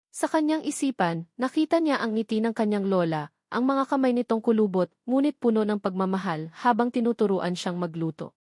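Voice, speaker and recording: A woman with a Filipino accent reading a scripted romantic drama as a narrator, in a measured, solemn storytelling manner. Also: mid-range voice, darkish tone, no echo, clean studio sound.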